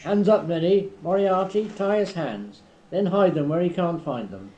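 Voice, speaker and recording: Guy doing a bossy scheming radio comedy villain, lively up and down, old hissy cassette. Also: high-pitched, normal speed. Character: bossy, scheming male villain giving orders. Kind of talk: amateur family radio-comedy script reading. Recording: dull, noisy old 1970s cassette tape.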